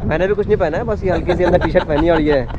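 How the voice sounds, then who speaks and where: A man's voice: high-pitched, very fast, dull audio. Chatty Indian man vlogging on a train. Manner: chatty, addresses viewers as brother. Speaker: travel vlogger. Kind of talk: casual travel vlog narration. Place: train and outdoor station platform. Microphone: action camera held at arm's length. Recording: loud train and outdoor noise.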